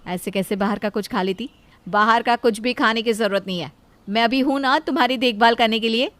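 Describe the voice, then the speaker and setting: A woman's voice: on the high side, brisk, fairly bright tone, natural voice. A woman voicing a motherly, anxious elder sister-in-law in an insistent, doting manner for a story. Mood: doting, bossy.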